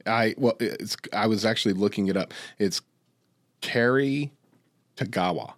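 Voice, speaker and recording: A man's voice: fairly low pitch, measured pace. A man co-hosting a podcast, chatting casually in an eager, agreeable manner. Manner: agreeable, eager, often echoing the other speaker. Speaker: podcast co-host. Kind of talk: casual pop-culture chat about movies.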